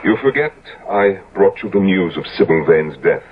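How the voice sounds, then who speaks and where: An actor playing a stern, reluctant gentleman friend in a 1970s radio drama, speaking in a formal, indignant manner. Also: sits low, animated, moderate pace, husky. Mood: stern, cold. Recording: dull, lo-fi old radio recording.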